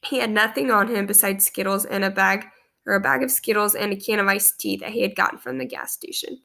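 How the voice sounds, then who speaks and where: A woman's voice: mid-range voice, fairly even intonation, brisk, vocal fry, sharp tone. A young woman in her twenties with a stuffy cold, earnestly reading a class presentation to a webcam in a small room. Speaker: student presenting a class post. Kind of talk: read-aloud presentation. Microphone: webcam at close range.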